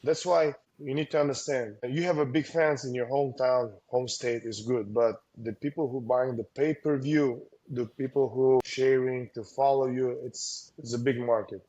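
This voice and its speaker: A man speaking as a guest coach in a relaxed interview, his voice mellow and dark in tone. He talks haltingly, stopping and restarting, and piles up fillers as he works toward his point. He sounds earnest, as if handing out practical advice. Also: mid-range voice, rough recording. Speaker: combat sports coach, guest. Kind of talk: casual interview talk.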